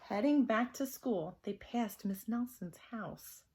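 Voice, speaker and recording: Expressive woman reading a children's story. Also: on the high side, slow pace. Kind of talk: expressive children's read-aloud narration.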